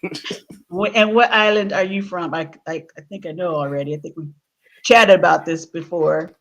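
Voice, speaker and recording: A woman hosting a casual livestream interview, chatty and quick to laugh. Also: fairly low pitch, a bit bright. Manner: chatty, laughs often, restarts a lot. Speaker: host.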